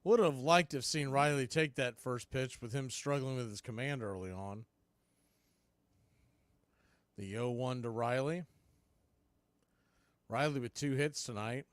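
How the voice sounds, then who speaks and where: A man's voice: mid-range voice, normal speed, dry recording. An American man calling live baseball play-by-play in a folksy, partisan home-team style. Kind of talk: live radio baseball commentary.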